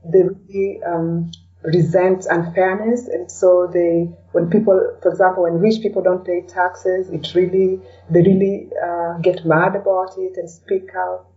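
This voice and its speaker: A woman with a dark, mellow tone chats casually as a podcast guest. She sounds a little away from the microphone, in a dull lo-fi recording, and often tags her phrases with "you know". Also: lowish voice, little pitch movement, measured pace, far from the mic. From Kenya. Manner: chatty, peppers talk with "you know". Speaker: interview guest. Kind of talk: casual conversational interview.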